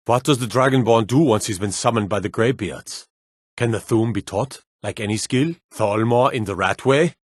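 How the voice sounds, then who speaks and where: A gruff fantasy town guard from a video game, acted by a man, with a low, husky voice recorded very dry. He is suspicious and curt, with a wry, world-weary edge to his remarks. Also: normal speed, no echo, natural voice. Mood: musing. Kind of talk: video game character voice lines.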